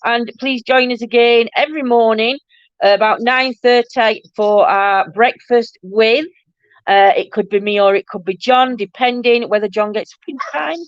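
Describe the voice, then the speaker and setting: A middle-aged woman hosts a casual livestream. Her voice is croaky and a bit nasal, and she rambles affectionately to her viewers. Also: on the high side, normal speed, no echo, highs cut off. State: croaky, nasal from hay fever. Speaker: livestream host. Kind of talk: casual live-stream chat with viewers.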